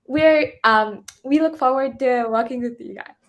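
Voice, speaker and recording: A woman's voice: high voice, measured pace. A teenage girl from Singapore introducing herself hesitantly on a video call. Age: teens. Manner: hesitant, with fillers.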